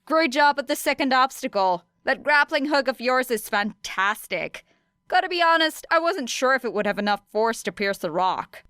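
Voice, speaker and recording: A woman's voice: high voice, expressive, moderate pace, sharp tone, clear, resonant tone, dry recording, studio quality, pitched above the performer's own voice. A woman voicing a scrappy, determined teenage girl in an audiobook, casual and blunt in manner. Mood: friendly.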